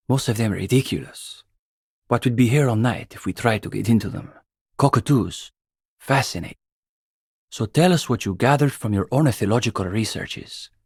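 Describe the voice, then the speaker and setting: An actor playing an earnest young communist student intellectual in a video game, speaking in an articulate, pedantic way. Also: male voice, lowish voice, breathy edge, no room sound, studio quality. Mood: musing, intrigued. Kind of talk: video game dialogue acting.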